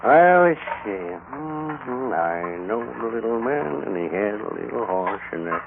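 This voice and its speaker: A man's voice: mid-range voice, sing-song, measured pace, creaky. A man playing a folksy, grumbling comic cowboy sidekick with rustic talk in an old-time radio western. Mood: joking. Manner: drawling rustic talk, hesitant asides. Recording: tinny, hissy old radio recording.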